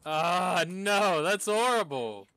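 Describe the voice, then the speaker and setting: A man's voice: sits high, animated, takes it slowly, creaky, sharp tone, clean tone, dry recording. A man streaming a game with casual live commentary, exclaiming when things go wrong. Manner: reads game lines aloud, exclaims at setbacks.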